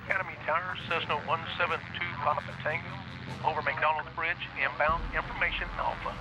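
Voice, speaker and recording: A man's voice: fairly high pitch, level tone, fast talker, background noise. A man reading out clipped aviation radio calls in a pilot's role. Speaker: trainee playing pilot.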